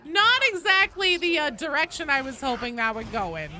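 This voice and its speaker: A woman's voice: sits high, expressive, normal speed, bright, forceful tone. A woman chatting casually as she streams a game live. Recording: noticeable background noise.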